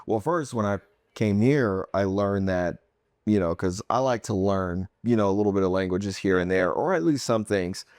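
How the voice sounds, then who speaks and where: A man's voice: fairly low pitch, moderate pace, a little mellow, dry recording, studio quality. An American man talking casually as the host of an interview podcast.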